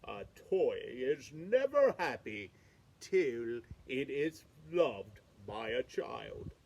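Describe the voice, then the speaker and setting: A woman's voice: rumbling low, sing-song, drawn-out words, darkish tone, dull audio, slight background noise, voice pushed down, heavily acted. A woman voicing the solemn male king of an island of lost toys in a storybook read-aloud.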